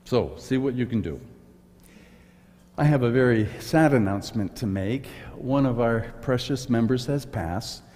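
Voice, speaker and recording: Older guy pastor doing announcements, slow and earnest, mellow low voice, big church reverb. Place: church sanctuary, indoors. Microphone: far camera, standing in front of a pulpit.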